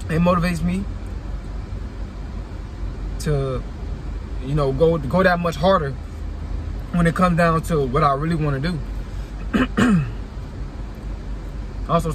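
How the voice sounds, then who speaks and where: A young man vlogging from his car, talking casually and repeating "like I said" and "you know what I'm saying" as he rambles on. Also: on the high side. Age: young adult. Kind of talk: casual motivational talk to camera. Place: parked car interior. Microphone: phone camera on dashboard. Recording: car interior with outdoor traffic noise.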